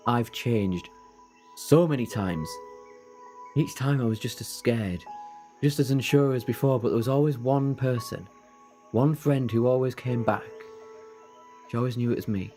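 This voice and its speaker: An ageless, heroic time traveller with a haunted past played by a man in an audio drama. He speaks at a middle pitch, earnest and persuasive, tossing in flippant asides. Faint music sits behind the voice. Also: a little slow, long pauses, mellow, close to an everyday voice. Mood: wistful, confessional. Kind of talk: fan audio drama acting.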